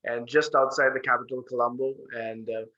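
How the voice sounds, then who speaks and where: A man's voice: medium pitch, little pitch movement, a little fast, pushed and bright. A man in his thirties from Sri Lanka, speaking earnestly and fluently as a guest on a video call. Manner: earnest, fluent, with frequent "uh" fillers. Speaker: guest, peace organization founder. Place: home room with bookshelves. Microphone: video call webcam. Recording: dull lo-fi webcam audio.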